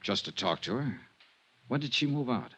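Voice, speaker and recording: An actor playing a polite, persistent insurance investigator in a crisp, matter-of-fact 1950s radio detective style. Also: male voice, mid-range voice, expressive, normal speed, a bit bright. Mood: polite, probing. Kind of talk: 1950s radio detective acting and first-person narration. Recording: muffled vintage radio recording with music.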